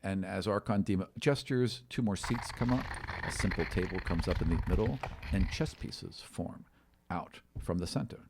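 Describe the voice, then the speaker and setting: A man's voice: low voice, normal speed, gravelly, background noise. A man improvising tabletop game narration as game master, with theatrical emphasis and conversational asides.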